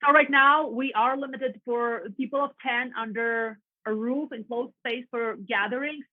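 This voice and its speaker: A woman's voice: on the high side, fairly even intonation, normal speed, no room sound. A woman in her thirties from Latvia with a Russian accent, telling her story in a chatty, earnest way as a podcast guest. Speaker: realtor guest. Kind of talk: casual podcast interview. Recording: tinny phone-like line, dry.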